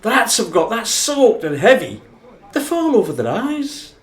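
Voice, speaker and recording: An actor with a Northern English accent playing an impassioned rugby fan in a radio play, brooding over an old defeat. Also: male voice, high-pitched, huge pitch swings, measured pace, heavily acted. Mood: incredulous. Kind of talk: dramatic radio play retelling. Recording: roomy, echoing sound.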